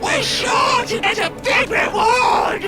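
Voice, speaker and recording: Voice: very high voice, takes it slowly. A performer voicing a gleeful, greedy little monster henchman in a video game cutscene, crowing and boastful. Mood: gloating glee. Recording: loud music, echoey.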